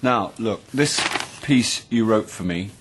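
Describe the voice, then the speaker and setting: An actor playing a wry, pedantic middle-aged literature tutor in a radio drama, with a husky voice and a southern English accent. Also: male voice, lowish voice, slow pace, background noise. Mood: hesitant, pedantic. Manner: hesitant, dry, articulate, pedantic.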